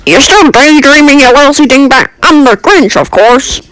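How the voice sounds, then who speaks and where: A man's voice: extremely high pitch, expressive, moderate pace, bright, forceful tone, voice pushed up, full character voice, poor audio. A man voicing a rude, menacing holiday villain creature who taunts and insults in a comedic story. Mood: scornful.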